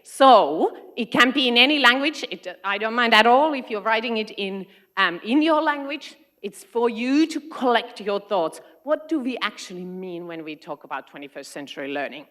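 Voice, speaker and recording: A woman's voice: fairly high pitch, animated, normal speed, few pauses, fairly bright tone. A woman with a Finnish accent giving a friendly, engaging talk to a large conference audience as an education consultant. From Finland. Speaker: keynote speaker, education consultant.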